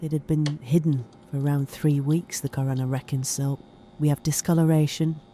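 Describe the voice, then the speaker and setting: A woman acting as a no-nonsense but compassionate senior detective in an audio drama, steady and plain-spoken. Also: low-pitched, normal speed, slight background noise, natural voice. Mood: grave, businesslike.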